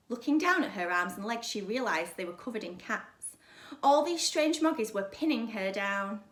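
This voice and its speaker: A woman's voice: on the high side, fairly bright tone. A woman reading a children's story aloud like a primary teacher, with dramatic, emphatic delivery. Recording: echoey room.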